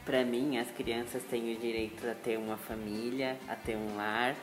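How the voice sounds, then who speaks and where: A man in his twenties answering children's interview questions calmly, listing his points one by one. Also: mid-range voice, moderate pace, roomy sound, music in the background. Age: 20s. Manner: calm, explains in lists. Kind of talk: school project interview.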